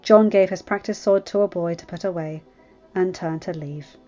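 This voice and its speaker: A woman reading fantasy fiction aloud in an expressive scripted narration. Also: fairly low pitch, moderate pace, muffled recording, faint music behind. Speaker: fan fiction audiobook reader.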